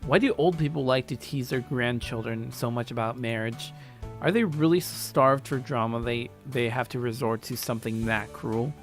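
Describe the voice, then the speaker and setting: A man narrating a first-person story aloud in an expressive way, now and then stumbling over his words. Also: medium pitch, moderate pace. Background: faint music.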